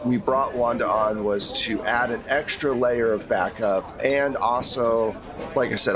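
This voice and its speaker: A man playing a middle-aged female agency handler in a tabletop game, courteous, protective and measured in a briefing manner. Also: mid-range voice, natural voice. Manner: measured, professional briefing talk.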